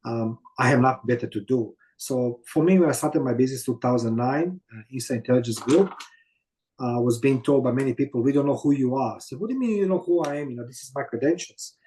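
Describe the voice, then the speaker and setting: A man in his fifties from Croatia with a Croatian accent, telling his story as an interview guest in halting non-native English full of fillers. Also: mid-range voice, mellow, poor audio. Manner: halting, many fillers and "you know what I mean".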